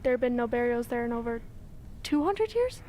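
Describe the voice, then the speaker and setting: A woman acts out a nervous, indignant villager in an old-time radio play. The pitch is high and the delivery stagey, with faint noise behind. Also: very animated, a little fast, mellow. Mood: uneasy. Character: nervous, indignant small-town New England villager.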